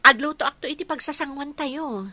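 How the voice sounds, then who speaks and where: A woman's voice: high voice, fairly quick, bright, forceful tone, no room sound. A woman playing a dutiful, caring young wife and mother in a radio drama, with a wistful streak. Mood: practical, planning. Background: music.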